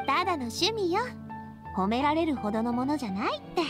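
Cheery young anime game boss lady, really high girly voice, bouncy, soft music behind. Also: very animated, fairly quick. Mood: sincere, friendly. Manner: breezy, warm-hearted, feminine. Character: cheerful, confident young female gang boss.

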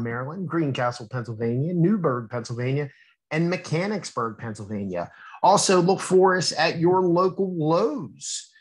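An American man reading a children's book aloud in a teacherly way, explaining and asking his young listeners questions. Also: fairly high pitch.

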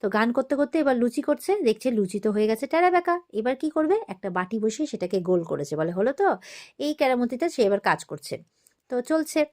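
A woman's voice: fairly high pitch, lively intonation, quick pace, a little mellow. A woman narrating a TV serial recap in a casual, chatty storytelling way, acting out the dialogue as she goes.

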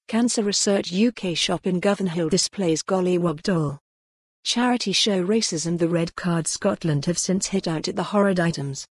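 Synthetic newsreader voice, slightly breathy, flat even delivery, reads every heading and link. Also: mid-range voice, no room sound, clean studio sound. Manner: steady and even, reads page links and headings out word for word. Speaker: news article reader. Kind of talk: reading a news article aloud.

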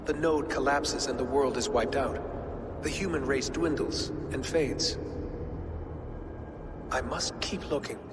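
A man doing a precise, calm calculating being. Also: medium pitch, very noisy, lightly acted. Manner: precise, measured, matter-of-fact. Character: calm, precise man who reads probabilities. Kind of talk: video game cutscene. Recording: game audio with music behind.

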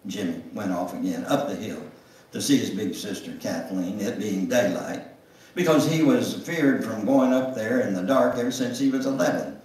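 An older man gives a deliberate dramatic reading of a memoir, with pauses. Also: lowish voice, darkish tone. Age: older, 70s or above. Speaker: guest speaker reading his memoir. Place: church sanctuary, standing at music stand. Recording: echoey church room, distant microphone.

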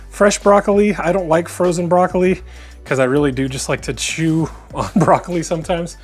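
A man talking casually to his viewers as a vlogger, in a plain-spoken, conversational way. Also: fairly high pitch. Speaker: vlogger on a weight loss journey. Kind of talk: casual vlog talk to camera.

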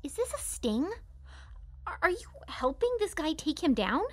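Mob princess, early twenties actress, high voice, animated, girlish, gushing and sure of herself. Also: normal speed, no echo. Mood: excited, confident. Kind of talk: audio drama acting.

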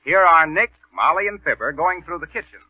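A man's voice: on the high side, expressive, fairly quick, husky, no room sound. A man with an American accent announcing and narrating a scripted 1930s radio show. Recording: tinny 1930s radio broadcast recording.

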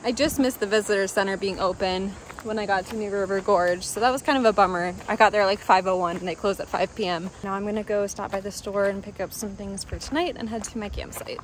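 A woman's voice: mid-range voice, fairly even intonation, fast, hardly any pauses. Young woman, casual vlogger, talking to camera in a car, faint noise behind. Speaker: travel vlogger. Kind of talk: casual vlog chat. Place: inside a parked car. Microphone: handheld camera on the dashboard.

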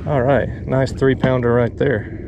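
A man of middling pitch talks casually to himself as he reels in fish from a small boat outdoors. His voice is caught by a head-mounted action camera. Clear background noise and reel clicks sit under his voice. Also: a little slow, dull audio. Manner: relaxed, talks to himself while fighting fish. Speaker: fishing vlogger. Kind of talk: casual running commentary.

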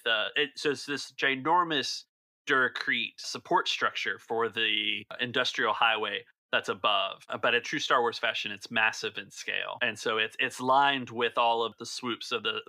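Man, game master, very bright forceful voice, conversational scene description, improvised tabletop narration, dry sound. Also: mid-range voice, steady tone, moderate pace, creaky, no echo.

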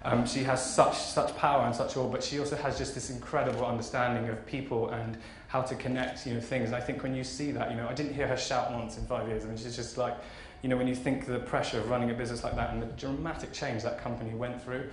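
A man aged thirty giving an informal, enthusiastic conference keynote in a conversational way. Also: mid-range voice. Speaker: keynote speaker, fashion marketing executive. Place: large conference auditorium stage. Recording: roomy hall echo, faint background noise.

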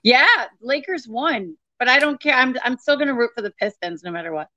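Woman comedian, Midwestern accent, bright and chatty, dull video-call sound. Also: a little high, animated. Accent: Midwestern American. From Michigan, Detroit. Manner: chatty, quick joking asides. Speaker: guest, comedian. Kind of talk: casual chat. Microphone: video call laptop mic.

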